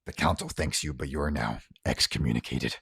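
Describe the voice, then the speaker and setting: A man voicing a scarred orc woman enforcer in a tabletop game, speaking in a measured, formal, coldly menacing way. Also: rumbling low, fairly bright tone, husky, no room sound, studio quality, pitched below the performer's own voice, full character voice.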